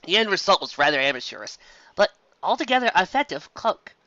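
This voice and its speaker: A man reading a story aloud as an expressive amateur narrator, recorded in dull audio. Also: high-pitched, fairly quick, dry recording.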